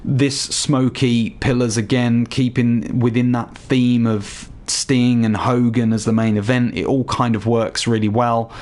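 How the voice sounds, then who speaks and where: A man with a slightly hoarse voice chatting casually to viewers while walking them through a game showcase. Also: mid-range voice, level tone, unhurried, few pauses, darkish tone. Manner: chatty, addresses viewers directly. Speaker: gaming YouTuber. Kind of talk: casual game tutorial voice-over.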